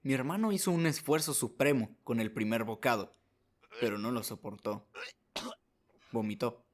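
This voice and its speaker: Man narrating a scripted story, medium pitch. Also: moderate pace. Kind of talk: scripted radio drama narration of a short story.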